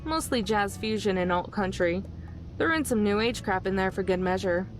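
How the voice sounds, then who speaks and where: A woman voicing a guarded young woman in a visual novel, dry, low-key and offhand. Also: moderate pace, over music, lightly acted.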